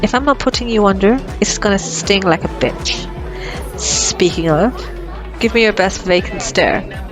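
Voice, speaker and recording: A woman's voice: mid-range voice, animated, measured pace, over music, close to an everyday voice. A woman playing a cocky, flirtatious female vampire in an ASMR roleplay, sardonic and playful. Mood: wry, coaxing. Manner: sardonic, playful, casually swearing. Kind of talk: ASMR roleplay audio drama.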